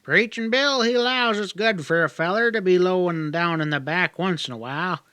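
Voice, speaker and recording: A man's voice: high voice, moderate pace, bright, forceful tone, clear, resonant tone, no echo, voice pushed up. A man voicing a talkative, naive young backwoods native in an audiobook, with a rural Southern accent and a folksy, rambling drawl. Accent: rural Southern hillbilly. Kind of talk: audiobook character dialogue.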